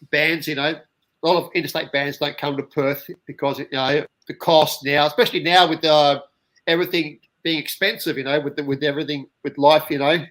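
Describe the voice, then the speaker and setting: Man, from the UK, interviewer, slightly high voice, halting, stammering delivery. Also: a bit bright, low-quality recording. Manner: halting, stammering, many restarts. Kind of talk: casual interview.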